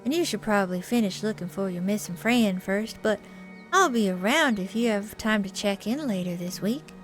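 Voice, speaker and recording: Woman acting as devout male pastor, earnest, fretful, prim, mid-pitched, visual novel character, prominent background music. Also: expressive, moderate pace, close to an everyday voice, poor audio. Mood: squeamish, polite.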